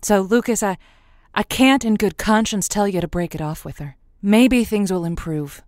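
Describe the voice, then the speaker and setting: A woman's voice: medium pitch, moderate pace, a little mellow, no room sound, clean studio sound, lightly acted. A woman playing a sympathetic, folksy advice host on a radio drama, with a reassuring way of talking. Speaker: radio call-in show host. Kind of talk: scripted radio advice show.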